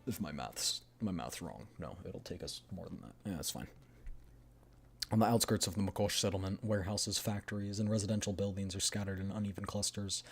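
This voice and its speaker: A man's voice: low-pitched, brisk, vocal fry, faint music behind. A man streaming a game, reading the story aloud and chatting casually as he thinks aloud. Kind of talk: game readthrough with casual commentary.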